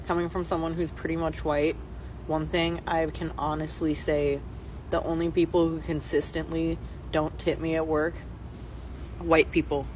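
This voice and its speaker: Man, service worker, wry, quiet, flat voice. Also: a little high, moderate pace, slight background noise, rough recording. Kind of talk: social media monologue.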